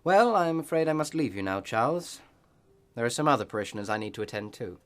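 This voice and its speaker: An actor playing a pious parish clergyman in a radio play, offering consolation in measured, sermonising phrases. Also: medium pitch, big pitch swings, close to an everyday voice. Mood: solemn consolation.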